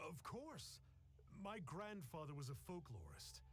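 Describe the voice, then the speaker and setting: An actor playing a pompous, cowardly phony exorcist and gushing fanboy in a video game, speaking in theatrical, archaic phrases. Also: male voice, on the high side, wildly swooping pitch, measured pace, soft background music. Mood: awed, fawning. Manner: theatrical, archaic grandiose speech.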